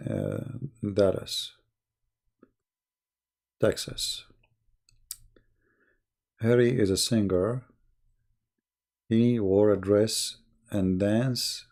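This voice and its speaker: A man who speaks English as a second language, chatting casually and telling stories on a live stream in a halting, rambling way, often clearing his throat. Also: lowish voice, a little mellow. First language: not English.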